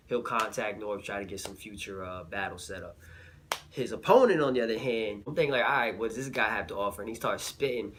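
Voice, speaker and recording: A man with a low voice who sounds a little under the weather. He talks through a casual recap, loose and full of fillers and slang, with faint noise in the background. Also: fairly bright tone. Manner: laid-back, filler-heavy slang. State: a little sick. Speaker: battle rap reviewer.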